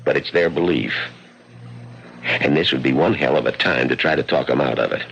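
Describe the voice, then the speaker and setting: A man acting in a radio drama as a folksy, cautionary Western local, with a gravelly voice and plain-spoken, serious delivery. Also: rumbling low, normal speed, a bit bright, slight background noise, full character voice. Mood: grave warning. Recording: muffled old radio recording.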